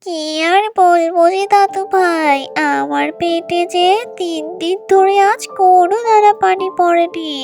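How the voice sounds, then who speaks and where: A woman's voice: very high-pitched, fairly even intonation, unhurried, vocal fry, few pauses, full character voice. A woman voicing a kindly, plaintive old village granny in a children's cartoon, with coaxing endearments. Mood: plaintive, pitiful. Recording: loud music behind the voice. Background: children's music.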